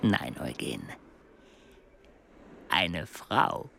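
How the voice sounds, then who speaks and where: An actor in a German radio drama playing a wry, knowing male traveller, with a deliberate, teasing, drawn-out delivery. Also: low-pitched, very animated, bright, forceful tone, full character voice. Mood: teasing, confiding. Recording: clear outdoor ambience noise.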